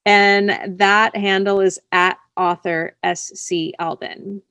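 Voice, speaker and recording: A woman with a mid-pitched voice talks casually as an interview guest. She is an author, and the recording is close and dry with very little room sound. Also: little pitch movement, slow, fairly bright tone, clean tone, no room sound.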